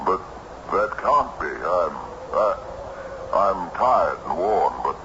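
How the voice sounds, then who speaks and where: An actor in an old radio drama playing a weary, confused traveller husband with halting, old-fashioned courtly speech. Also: male voice, sits low, big pitch swings, exaggerated voice. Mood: bewildered, weary. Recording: noisy dull old radio recording.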